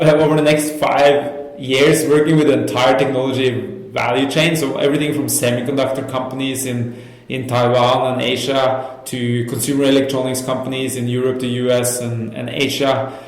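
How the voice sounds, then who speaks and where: A man from Norway, a native Norwegian speaker, talking conversationally and enthusiastically as an interview guest, with frequent you-knows. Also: medium pitch. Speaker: interview guest, startup founder. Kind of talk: conversational career storytelling. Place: indoor co-working office. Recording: roomy, echoey indoor sound.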